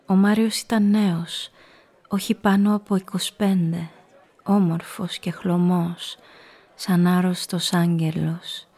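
Measured, even-toned woman narrator. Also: on the low side. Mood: wistful. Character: observant, world-wise woman narrating her own story. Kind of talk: scripted first-person literary narration.

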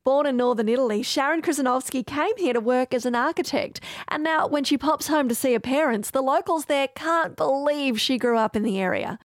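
Higher-voiced woman podcast host. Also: a little fast, few pauses, no echo, clean studio sound. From Australia. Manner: friendly, curious interviewer. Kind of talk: podcast interview and narration.

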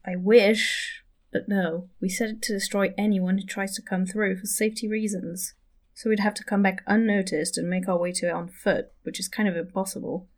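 A woman's voice: mid-range voice, fairly even intonation, moderate pace, natural voice. A woman playing a weary, self-doubting second-in-command in a naturalistic audio drama, speaking in an understated, hesitant way. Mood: worried.

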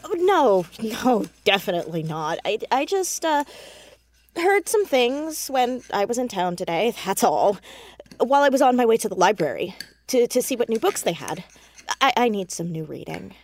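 A woman playing an anxious, defensive, bookish fourteen-year-old girl in an audio drama, stammering and halting. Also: fairly high pitch, sing-song. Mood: nervous, awkward. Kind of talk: naturalistic audio drama acting.

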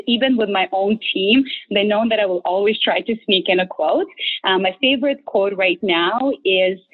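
A woman from Ukraine with a Ukrainian accent, a guest telling stories in a casual interview and laughing often. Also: medium pitch. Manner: laughs often, animated storyteller. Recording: tinny phone-like line.

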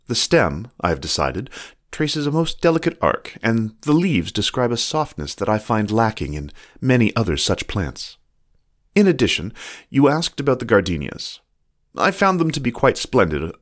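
Man voicing a genteel fox letter-writer, formal and measured, low voice, lively pitch. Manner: formal, measured, old-fashioned. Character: genteel, earnest fox writing love letters. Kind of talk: reading letters aloud from a story.

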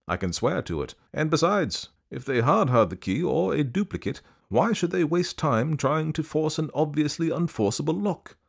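A man doing a harried, exasperated young gentleman. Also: fairly high pitch, lively intonation, moderate pace, gravelly, hardly any pauses, breathy edge, pitched above the performer's own voice, exaggerated voice. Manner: earnest, exasperated, emphatic.

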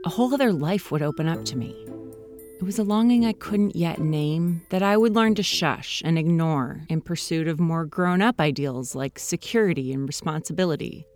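A woman hosting a podcast, telling a story in calm, gentle scripted narration. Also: on the low side. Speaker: podcast host.

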